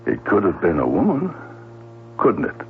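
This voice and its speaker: Matter-of-fact lieutenant; creaky low voice with swooping inflection, radio-drama interrogation, slightly noisy old broadcast. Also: male voice, huge pitch swings, moderate pace, phone-quality sound, full character voice. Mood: insinuating. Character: Lieutenant Bennett, probing, unhurried male police detective. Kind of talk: 1970s radio drama acting.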